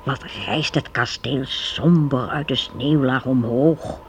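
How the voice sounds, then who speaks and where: A narrator telling a children's radio story in a dramatic, suspenseful and deliberate storybook style. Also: medium pitch, slight background noise.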